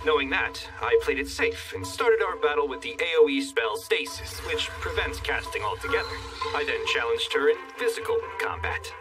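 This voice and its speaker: An actor playing a cocky young fantasy hero in an animated dub, narrating and bantering with smug, sardonic wit. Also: male voice, fairly high pitch, normal speed, far from the mic, poor audio. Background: music.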